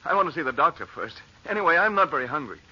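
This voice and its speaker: An agitated, guilt-ridden fugitive in a vintage radio thriller. His voice is fairly high and very piercing, halting and melodramatic. The old recording is dull and narrow. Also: very animated, pushed and bright, slightly rough, full character voice. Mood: weary, evasive. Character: panicky guilt-ridden man on the run, husband. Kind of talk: 1940s radio thriller acting. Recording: muffled, faintly noisy old radio recording.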